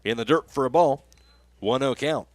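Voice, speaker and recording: A man's voice: mid-range voice, moderate pace, dry recording. A man working as a radio play-by-play announcer, calling baseball and reading sponsor spots. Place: live radio broadcast from a ballgame.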